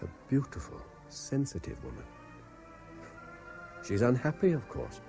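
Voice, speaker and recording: An actor playing a haunted, reluctant private investigator in a radio drama, with a British accent and a hesitant, measured way of speaking. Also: male voice, medium pitch, wildly swooping pitch, gravelly, dark tone, soft background music, exaggerated voice. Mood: sombre, dictating. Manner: hesitant, stammering in talk, measured when dictating. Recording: 1970s radio drama broadcast.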